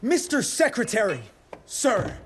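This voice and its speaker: High-pitched nervous inventor. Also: male voice, very animated, a little slow, slight background noise, full character voice. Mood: flustered. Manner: wordy, formal, flustered. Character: nervous, brainy, polite gadgeteer sidekick in the Old West.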